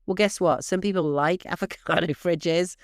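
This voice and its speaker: A middle-aged woman host coaches her listeners in a brisk, emphatic way, calling them "guys". Her voice is smooth and clear underneath, though stuffy and snuffly from allergies. The recording is close and dry, with no room sound. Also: lowish voice, dry recording. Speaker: real estate podcast host. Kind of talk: direct-to-listener podcast advice.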